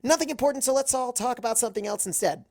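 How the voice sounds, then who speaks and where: A man's voice: very high voice, very fast, creaky, darkish tone, voice pushed up. A man voicing an earnest, bookish young female pony who is easily flustered and emphatic, in a story reading.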